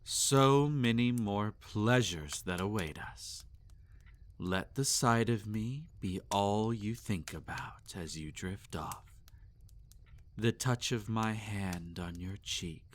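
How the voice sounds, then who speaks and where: A man playing a possessive, sadistic vampire master in an intimate roleplay audio, teasing and domineering, often slipping into breathy whispers. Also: fairly low pitch, takes it slowly, slight background noise. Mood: soothing, possessive.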